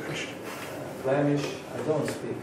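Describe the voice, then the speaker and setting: A Russian man speaking English as a second language, giving a halting seminar introduction with many pauses. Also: a little high, flat delivery, darkish tone, a little hiss or hum. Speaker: lecturer. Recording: roomy echo from far off.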